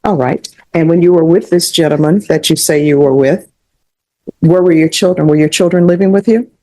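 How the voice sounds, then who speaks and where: A woman's voice: low voice, a little fast, dark tone, rough recording. An American woman speaking as a judge in court, questioning and ruling in a firm, formal, matter-of-fact way.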